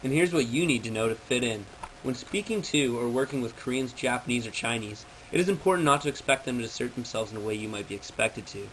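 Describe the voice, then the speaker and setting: A man's voice: medium pitch, expressive, fast talker, a bit bright, slight background noise, rough recording. A man reading out a prepared informational presentation in a formal, textbook-like way.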